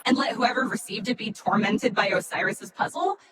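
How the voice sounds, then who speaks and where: A woman's voice: on the high side, lively intonation, brisk, heavy background noise, natural voice. A woman voicing a chatty, sassy little machine companion in a video game, theatrical and exuberant. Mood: indignant complaint. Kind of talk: video game cutscene acting. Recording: loud music under the voice. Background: loud music.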